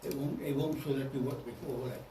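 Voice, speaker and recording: A man's voice: medium pitch, steady tone, fast talker, mellow. A man speaking informally in a group discussion, as a member questioning a presenter. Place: indoor club meeting room. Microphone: distant room microphone. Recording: echoey room, distant mic, faint noise.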